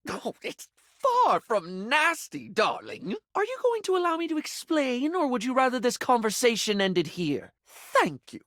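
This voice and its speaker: Camp theatrical beauty-obsessed dandy for a video game, high for a man, pitch all over the place, super dry close sound. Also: wildly swooping pitch, a little slow, bright, forceful tone, no echo, heavily acted. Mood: theatrical, haughty. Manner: camp, melodramatic, affected, fond of "darling". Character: flamboyant, theatrical, beauty-obsessed male dandy, scatterbrained and insecure. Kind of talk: expressive video game character acting.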